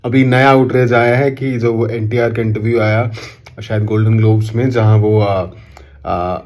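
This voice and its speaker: A man's voice: fairly low pitch, fairly even intonation, moderate pace, darkish tone. A man with an Indian accent ranting to camera in an opinion vlog, indignant and sarcastic, mixing Hindi with English. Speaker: commentator.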